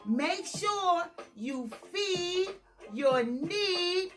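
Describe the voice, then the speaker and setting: A woman's voice: extremely high pitch, lively intonation, extremely slow, loud music behind the voice. A woman hosting a children's storytime, reading expressively and talking directly to young listeners. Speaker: storytime host and picture book reader. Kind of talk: children's read-aloud storytime.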